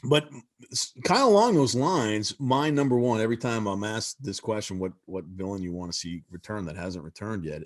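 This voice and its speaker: A man's voice: lowish voice, animated, moderate pace. A man talking as a convention panelist in a casual fan discussion over a video call, emphatic and full of "you know" fillers.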